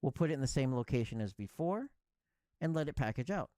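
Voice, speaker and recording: Male tutorial instructor, medium pitch, clear step-by-step delivery, close dry sound. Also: lively intonation, a little fast, warm tone, dry recording. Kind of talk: step-by-step software tutorial narration.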